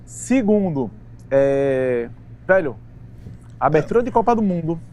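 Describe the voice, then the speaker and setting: A man's voice: a little high, very animated, dark tone. Brazilian guy on a footy panel, talks loose n slangy, stumbles and restarts, bit of background hum. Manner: chatty, halting restarts, slangy. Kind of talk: casual sports talk show chat.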